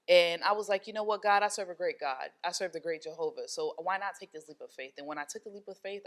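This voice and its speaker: Young woman from haiti, low voice, chatty and rambling, um and you know a lot. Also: a bit bright. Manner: rambling, many fillers and restarts. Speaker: hair studio owner, guest. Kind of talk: casual interview talk.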